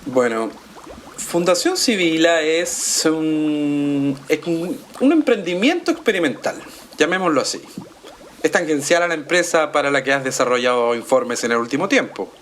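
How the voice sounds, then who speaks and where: An actor playing a courteous, self-important entrepreneur in an audio drama, formal and deliberate. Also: male voice, fairly high pitch, expressive, a bit bright, a little hiss or hum. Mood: assured, explanatory. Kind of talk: naturalistic audio drama acting.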